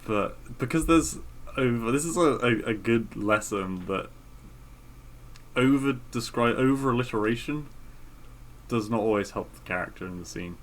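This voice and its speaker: A man's voice: mid-range voice, expressive, moderate pace, rough recording. A man co-hosting a podcast, reading a story aloud and chatting casually about it. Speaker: podcast co-host.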